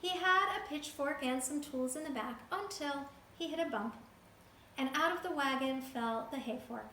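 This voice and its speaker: A woman reading a folktale aloud to a child, lively and stopping to ask the listener questions. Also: high voice, normal speed, reverberant, a little hiss or hum. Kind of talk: reading a picture book to a child.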